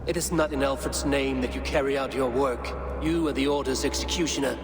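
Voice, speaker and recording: Male actor as proud Viking warrior, blunt, stern, mid-pitched, video game drama, slight background noise. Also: fairly even intonation, a little fast, close to an everyday voice. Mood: accusing.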